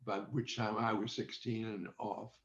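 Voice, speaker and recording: An older man reminiscing as an interview guest, speaking in a halting, deliberate way over a video call. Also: fairly low pitch, little pitch movement. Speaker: interview guest, former child radio quiz contestant. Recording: dull, echoey video-call audio.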